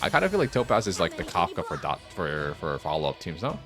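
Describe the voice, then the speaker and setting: Low-voiced, animated guy streaming a game. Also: fast. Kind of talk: casual live gameplay commentary. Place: home office. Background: game music.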